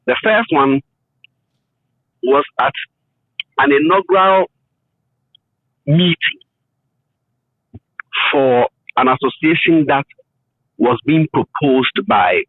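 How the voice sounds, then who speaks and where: A middle-aged man with a Ghanaian accent telling his story in an interview, in deliberate phrases with emphatic repetition. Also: mid-range voice, no room sound. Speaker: interview guest. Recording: thin phone-like line, muffled detail.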